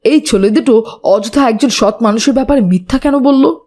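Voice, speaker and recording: A woman acts out a sensible, pious young cartoon boy. The voice is fairly high and soft-edged in tone, earnest and polite. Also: moderate pace, natural voice. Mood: reproachful. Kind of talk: children's moral cartoon dialogue.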